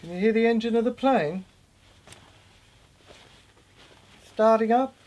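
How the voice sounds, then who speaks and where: A woman's voice: on the high side, quick pace, a little mellow, close to an everyday voice. A woman performing a theatrical dramatic monologue as an unhinged mad scientist in an asylum, rambling obsessively in repetitive fragments. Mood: musing, intense. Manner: stammering, repetitive, fragmented delivery.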